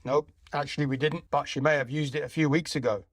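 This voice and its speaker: A man's voice: fairly high pitch, steady tone, fast, no echo, studio quality, close to an everyday voice. A man playing a practical, brusque police inspector with casual, matter-of-fact authority in an audio play.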